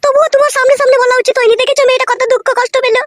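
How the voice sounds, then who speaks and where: A woman voicing a quarrelsome, sharp-tongued village wife in a comedy cartoon, scolding coarsely in a squeaky, shrill voice. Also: flat delivery, racing, dry recording, studio quality. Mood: reproachful.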